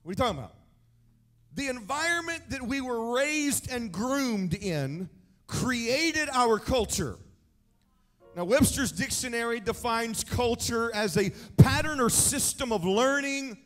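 A man, preaching an energetic sermon with a handheld mic on a large church stage, emphatic and shouting key points. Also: extremely high pitch, unhurried. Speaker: pastor. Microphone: handheld microphone. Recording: echoey hall, mic set back.

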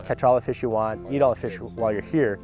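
A man's voice: medium pitch, steady tone, quick pace, dull audio. A young man who runs a family lodge, talking earnestly in an outdoor interview, with fillers and restarts. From Canada. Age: young adult. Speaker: lodge owner. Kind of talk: casual interview. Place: outdoors by a cabin. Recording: interview with loud music under it.

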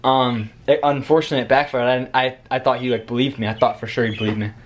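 A young man hosting a prank vlog in casual, slangy chat, his voice strained and nearly gone from shouting. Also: medium pitch, fairly even intonation, fast talker. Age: young adult. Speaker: prank video host. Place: living room, indoors. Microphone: camera at arm's length. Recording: echoey room, some background noise.

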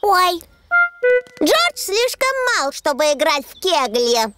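A woman's voice: high-pitched, sing-song, slow, full character voice. A woman voicing a cheerful, chatty little girl pig in a children's cartoon dub. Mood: excited. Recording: music behind the voice.

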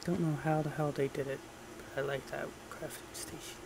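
A man with a fairly high, creaky voice talks casually over a game. He mutters to himself offhandedly, with little rise or fall. The recording has clear background noise. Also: level tone, brisk. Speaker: gamer recording audio logs. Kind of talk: casual gameplay commentary.

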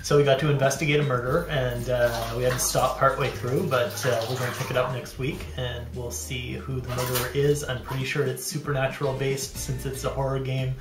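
A man's voice: medium pitch, level tone, a little fast, few pauses, roomy sound, over music. A man in his thirties chatting casually to camera as a dad vlogger, rambling and self-deprecating, recorded on a handheld camera in a small bathroom. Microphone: handheld camera at arm's length.

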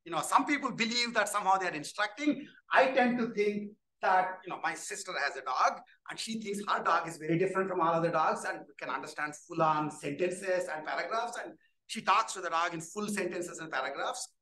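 A man giving a keynote talk, his voice high and bright. He is lively and chatty, often asking "right?". He was recorded from some distance in an echoey hall. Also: fairly quick. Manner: animated, tells jokes, frequent "right?" and "you know". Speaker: keynote speaker, professor. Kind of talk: lecture with audience questions. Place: lecture hall. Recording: echoey hall, speaker off-mic.

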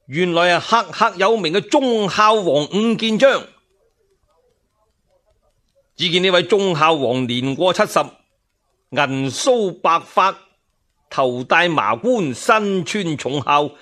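A man with a Cantonese accent tells old historical tales as a storyteller. His voice sits slightly high for a man and moves at a slow pace, with a dramatic, colloquial delivery. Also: sharp tone. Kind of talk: animated scripted narration of historical tales.

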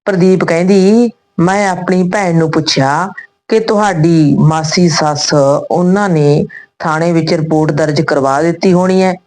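Female storyteller, low register, reads scripted tales and dialogue phrase by phrase. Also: measured pace, a little mellow.

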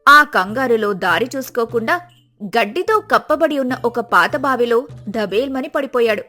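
A woman's voice: on the high side, sharp tone. A woman narrator reading a scripted moral story.

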